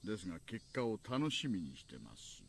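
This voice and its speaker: A man's voice: fairly low pitch, wildly swooping pitch, racing, slight background noise. An actor playing a polite, reserved man in a Japanese visual novel, speaking formally.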